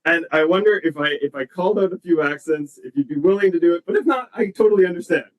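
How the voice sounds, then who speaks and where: A man's voice: sits high, quick pace. A man interviewing a guest in a casual, conversational way, picked up away from the mic. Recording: distant, off-mic voice.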